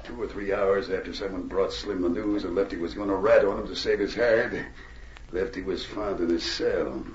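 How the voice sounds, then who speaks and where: An actor playing a rough, shifty fur smuggler with a folksy, ungrammatical way of talking, in 1940s radio drama style. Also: male voice, deep voice, steady tone, normal speed, natural voice. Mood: gossiping, low. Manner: folksy, ungrammatical drawl of an outlaw. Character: rough male fur-smuggling gang member, shifty and gossipy. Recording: noisy, muffled old radio recording, echoey.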